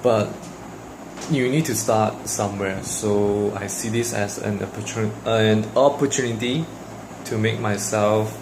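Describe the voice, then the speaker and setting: A man's voice: on the low side, fairly even intonation, measured pace, background noise. A young man from Malaysia with a Malaysian English accent, Mandarin his first language, talking casually to the camera in a personal vlog with lots of fillers and restarts. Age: young adult. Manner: hesitant, many fillers and restarts. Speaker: vlogger.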